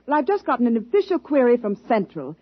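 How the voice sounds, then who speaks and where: An American actress playing a stern, formal, impatient expedition commander in a 1950s science fiction radio drama. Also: female voice, on the high side, big pitch swings, fast, slightly rough, no room sound. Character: stern, by-the-book female space expedition commander. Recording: dull lo-fi 1950s radio recording.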